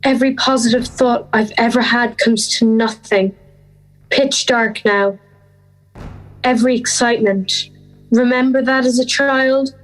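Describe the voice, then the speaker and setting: An introspective fairy-tale schoolgirl, lost and afraid, played by a young woman. She delivers an audio drama monologue slowly, in halting short phrases. Her voice is a little high, with music softly behind it. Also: fairly even intonation, lightly acted, low-quality recording. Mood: despairing. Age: young adult.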